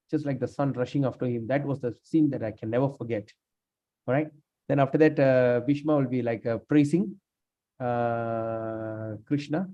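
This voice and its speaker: A man leading a scripture class, speaking haltingly with many fillers and restarts while he has lost his voice. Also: medium pitch, warm tone. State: lost his voice, sprained neck. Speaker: class leader. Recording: dull lo-fi room recording.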